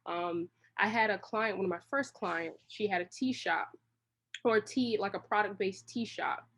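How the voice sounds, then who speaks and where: A woman's voice: medium pitch, normal speed, a bit bright, slightly rough. Chatty woman from Washington, D.C. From Washington, D.C., United States. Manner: long-winded, rambling storytelling. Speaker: interview guest, creative director. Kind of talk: casual, conversational interview answers.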